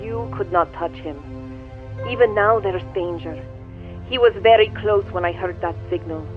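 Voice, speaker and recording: A woman's voice: lowish voice, normal speed, faint music behind. An actress playing a proud, doomed young alien woman, grave and formal, heard through a radio-like filter. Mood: resigned, sorrowful. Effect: radio-like filter over a viewing screen. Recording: thin, phone-like radio-filtered sound.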